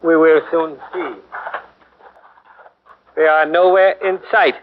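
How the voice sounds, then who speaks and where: A gruff army captain grunts out short, sharp questions in a 1940s radio play. The voice is pitched high and sounds dulled, like an old broadcast. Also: male voice, fairly even intonation, slow pace, phone-quality sound, a little hiss or hum. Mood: curt. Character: gruff male Japanese army captain inspecting.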